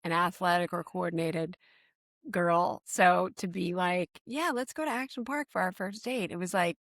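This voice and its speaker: A woman's voice: lowish voice, moderate pace, dry recording, studio quality. A woman from New Jersey in her forties hosting a casual podcast chat, laughing often and telling stories with animation. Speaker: podcast host.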